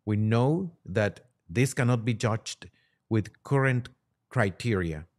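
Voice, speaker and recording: Low-voiced man, halting and deliberate. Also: expressive. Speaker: podcast guest. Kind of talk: reading quotes aloud and commenting.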